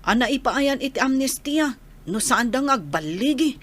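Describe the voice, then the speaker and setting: A concerned village woman in a radio drama. Her voice sits a little high and has a northern Philippine accent. She sounds anxious and plaintive. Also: animated, moderate pace, fairly bright tone. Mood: worried.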